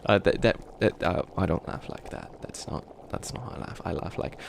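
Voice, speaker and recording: A man's voice: sits low, normal speed. A man voicing a flustered, youthful bunny witch who wants to be evil, stammering and rambling defensively in a comedic ASMR roleplay. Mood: embarrassed, insistent. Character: flustered 853-year-old youthful bunny witch, harmlessly wannabe evil. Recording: clearly noisy ambience behind voice.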